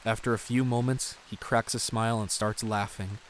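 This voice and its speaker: A man's voice: fairly low pitch, fairly even intonation, moderate pace, a little hiss or hum. A man narrating an audio drama in scripted, present-tense prose.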